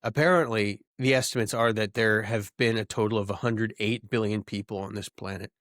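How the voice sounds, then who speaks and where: A man's voice: fairly low pitch, fairly even intonation, fairly quick, a bit bright, dry recording, studio quality. A young man co-hosting a podcast, trading sardonic jokes in casual banter. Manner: sardonic, joking. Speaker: podcast co-host.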